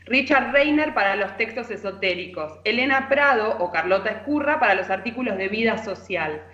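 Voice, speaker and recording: A woman's voice: mid-range voice, lively intonation, moderate pace, fairly bright tone. Argentine woman in echoey, slightly dull audio. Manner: chatty, informal. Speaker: literary columnist. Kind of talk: conversational radio column telling anecdotes.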